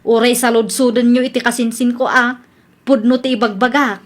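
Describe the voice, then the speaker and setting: A woman's voice: fairly high pitch, flat delivery, moderate pace, lightly acted. A woman playing a boastful, stubborn, cheerfully bossy wife in an Ilocano comedy radio drama, with an Ilocano Filipino accent.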